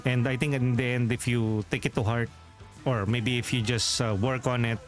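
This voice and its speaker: A man's voice: medium pitch, steady tone, moderate pace, faint music behind. A man with a Filipino accent chatting casually as a game streamer, rambling along with lots of "uh" fillers and little chuckles. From Philippines. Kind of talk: casual live-stream chat.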